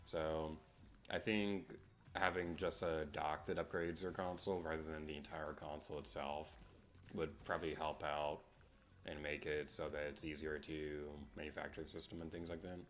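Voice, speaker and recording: A sleepy man with a very deep voice, streaming a game and chatting casually with many fillers. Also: little pitch movement, normal speed, a bit bright. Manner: sarcastic outbursts when losing.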